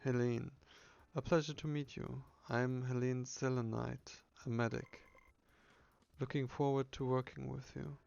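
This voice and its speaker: A man voicing a kind, caring woman medic in a game, with polite, gentle wording and delivery. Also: medium pitch, flat delivery, unhurried, creaky, clear, resonant tone, dull audio. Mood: friendly.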